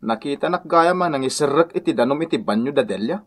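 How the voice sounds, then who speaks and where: A man's voice: mid-range voice, expressive, quick pace, slightly rough. An actor playing a falsely accused village man, defensive and insistent, in a Filipino radio drama. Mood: aggrieved. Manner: protesting, insistent.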